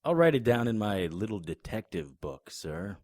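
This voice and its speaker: A man's voice: fairly low pitch, very animated, moderate pace, a little mellow, no room sound. A man narrating and acting the lead in a noir audio drama, dry and wry in manner.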